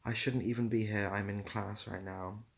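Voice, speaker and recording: Low-voiced young man chatting on a livestream. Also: steady tone, moderate pace, gravelly. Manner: self-deprecating, dry, rambling answers. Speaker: livestream host. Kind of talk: casual livestream chat, reading out viewer comments.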